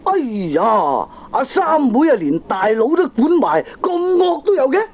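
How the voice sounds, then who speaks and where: A man's voice: very high-pitched, wildly swooping pitch, unhurried, heavily acted. An actor playing a foolish, money-grubbing middle-aged father and gambler in a Cantonese comedy radio drama, with a Hong Kong accent and exclamatory, wheedling, argumentative speech. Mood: exasperated, teasing. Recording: dull lo-fi old cassette tape.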